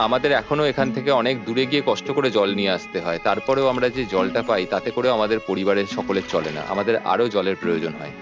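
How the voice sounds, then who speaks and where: A man's voice: lowish voice, quick pace, hardly any pauses, natural voice. A man dubbing a humble village father giving testimony in a documentary. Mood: weary, pleading. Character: humble village father of a large poor family. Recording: muffled audio under music. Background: music.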